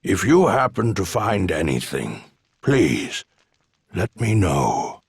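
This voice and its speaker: A gentle old island recluse in a game cutscene. His low voice is gravelly and swings expressively, with a courteous, wistful air. It is recorded close and dry. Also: big pitch swings, slow pace, dry recording, full character voice. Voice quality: gravelly, husky. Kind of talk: video game cutscene acting.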